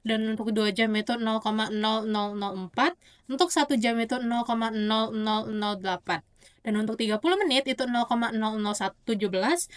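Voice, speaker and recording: Young woman, slightly high voice, student oral presentation, explanatory, stop-and-start with self-corrections. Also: clear, resonant tone. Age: young adult. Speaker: university student.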